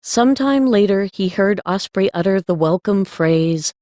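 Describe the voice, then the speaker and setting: A woman's voice: mid-range voice, animated, unhurried, mellow, no room sound, clean studio sound. A woman narrating a scripted story as an audiobook reader.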